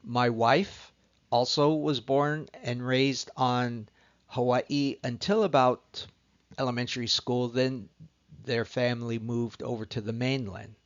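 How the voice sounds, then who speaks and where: A middle-aged man from Southern California, a course instructor introducing himself in a recorded lecture, measured and earnest with frequent pauses. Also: mid-range voice, steady tone, highs cut off.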